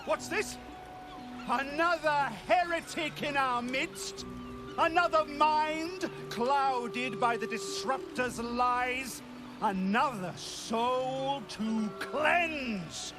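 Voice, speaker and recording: A fanatical cult preacher in a video game. He declaims pompously in a very high voice, drawing words out slowly over prominent music. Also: exaggerated voice. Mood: menacing, mock-gracious. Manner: pompous, declamatory, slow oratory. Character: zealous, theatrical cult preacher and executioner. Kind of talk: scripted video game acting.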